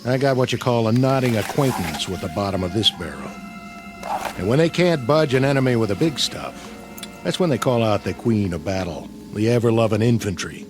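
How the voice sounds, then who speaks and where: An actor playing a weary, wisecracking army sergeant in a radio drama, talking in gruff, sarcastic slang. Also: male voice, on the low side, animated, a little fast, music in the background, lightly acted. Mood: weary, wry.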